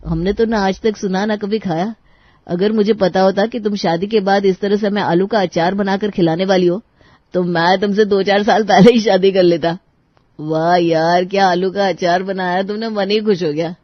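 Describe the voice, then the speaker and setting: A woman's voice: medium pitch, steady tone, moderate pace, dry recording, close to an everyday voice. A woman voicing a cheerful young husband who eagerly praises his wife's cooking, on a dry, slightly muffled studio recording. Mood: delighted. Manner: enthusiastic, praising.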